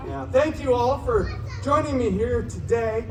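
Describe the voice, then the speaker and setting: An actor playing an irreverent, wisecracking masked antihero who tells a children's story live with theatrical delivery and sarcastic asides. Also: male voice, very high voice, animated, a little slow, noisy background. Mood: cheeky, sarcastic. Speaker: costumed theme park character storyteller. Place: outdoor theme park courtyard. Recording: distant outdoor sound, echo, loud music, crowd.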